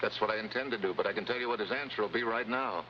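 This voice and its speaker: Man playing a low-voiced hard-boiled American agent. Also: very fast, lightly acted. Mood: dry, impatient. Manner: clipped, dry, sardonic. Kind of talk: 1950s TV adventure acting with noir narration. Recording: muffled old TV soundtrack, heavy noise.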